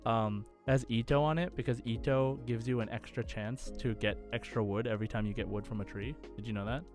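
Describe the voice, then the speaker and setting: A man's voice: mid-range voice, steady tone, brisk, darkish tone, soft background music. A man co-hosting a gaming podcast in casual, enthusiastic, rambling chat. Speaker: podcast co-host and game streamer.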